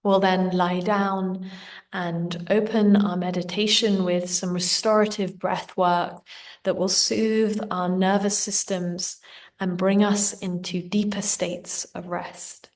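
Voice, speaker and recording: A woman leading a guided meditation in a gentle, soothing, unhurried manner. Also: medium pitch, level tone, distant mic. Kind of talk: slow guided meditation narration.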